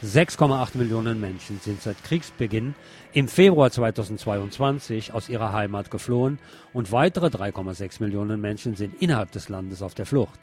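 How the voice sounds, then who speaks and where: A man's voice: fairly low pitch, darkish tone. A man reading scripted news narration and commentary as a presenter.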